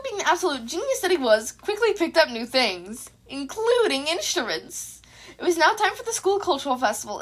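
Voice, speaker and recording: A young adult woman narrating her own fan fiction and chatting casually, with an informal, expressive manner. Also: sits high. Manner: informal, sweary.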